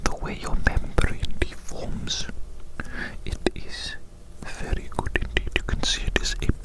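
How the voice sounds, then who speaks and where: An ASMR performer playing an evil doctor in a breathy whisper, repeating phrases with clinical, condescending fondness for a tiny specimen. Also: natural voice, low-quality recording. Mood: clinical, curious. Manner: slow repetitive murmuring, clinical yet doting. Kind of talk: ASMR medical roleplay.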